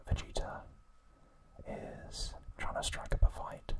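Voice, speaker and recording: A man hosting an ASMR video, narrating in a hushed, unhurried whisper. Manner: slow, hushed, unhurried trivia recap delivery.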